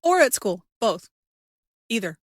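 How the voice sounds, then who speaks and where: A woman's voice: fairly high pitch, very animated, a little slow, no echo, studio quality. A woman hosting a podcast interview in a casual, chatty style.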